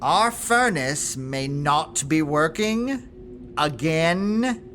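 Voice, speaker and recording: A woman's voice: low-pitched, lively intonation, extremely slow, a bit bright, a little hiss or hum, heavily acted. A woman playing an exasperated, sarcastic staff manager in an audio drama, with a clipped, indignant manner.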